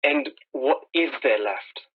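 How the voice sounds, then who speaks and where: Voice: medium pitch, huge pitch swings, slow pace, breathy edge, full character voice. An actor plays a lost wanderer who has survived disaster in a radio drama. The delivery is hesitant, questioning and despairing. It sounds narrow and tinny, as if over a telephone. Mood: searching, despairing. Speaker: amateur actor in a radio play. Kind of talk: dramatised radio play dialogue.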